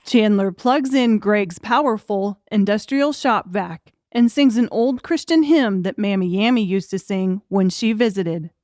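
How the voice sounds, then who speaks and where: A woman reading scripted narration for a dark thriller audiobook. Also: medium pitch, unhurried, hardly any pauses, dry recording, clean studio sound.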